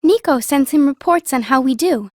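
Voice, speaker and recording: A woman's voice: high-pitched, animated, moderate pace, darkish tone, dry recording, studio quality. An actress playing a kind, polite young noble girl mage in an audiobook. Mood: encouraging, teasing.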